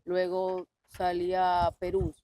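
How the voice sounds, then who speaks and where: A woman's voice: on the low side, completely flat delivery, slow, warm tone. A woman from Venezuela with a Venezuelan accent, a guest answering interview questions hesitantly, with many fillers.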